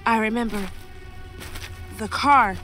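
A woman's voice: on the high side, slow pace, sharp tone, soft background music. A woman playing a troubled, haunted woman in an old time radio drama, hesitant and dreamy. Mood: distressed, haunted.

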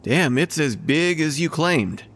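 An actor playing a brisk, dismissive horse buyer in an audio drama, casual and offhand. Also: male voice, on the high side, expressive, a bit bright. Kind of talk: horror audio drama acting.

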